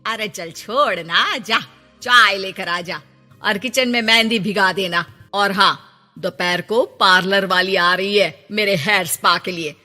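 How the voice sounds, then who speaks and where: A woman's voice: mid-range voice, a little slow, pushed and bright, big-room sound, soft background music, pitched below the performer's own voice. Woman putting on a vain, bossy mother-in-law. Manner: boastful, ordering, dramatic. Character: vain, bossy, self-absorbed middle-aged Indian mother-in-law.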